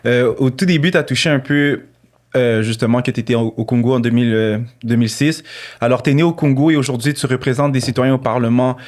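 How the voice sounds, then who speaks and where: A man's voice: mid-range voice, fairly quick, a little mellow. A man hosting a podcast interview, asking questions and giving frequent short acknowledgements. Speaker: podcast host.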